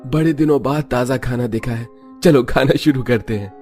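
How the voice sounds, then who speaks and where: A man's voice: medium pitch, moderate pace, a little mellow, lightly acted. A man voicing an annoyed, accusatory husband in a cartoon story. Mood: disgusted. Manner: complaining, accusatory. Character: annoyed husband fed up with bad food. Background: background music.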